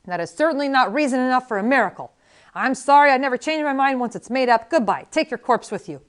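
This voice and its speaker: A woman's voice: high voice, expressive, quick pace, clean tone, voice pushed up. A woman voicing a cranky, boastful elderly male miracle healer who rambles in a querulous, bossy way. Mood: boastful rambling. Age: over 80 (figure).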